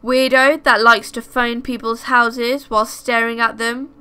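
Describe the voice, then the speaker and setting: A woman reading first-person scary stories aloud as scripted narration, in a measured storytelling manner. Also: a little high, level tone, sharp tone, smooth.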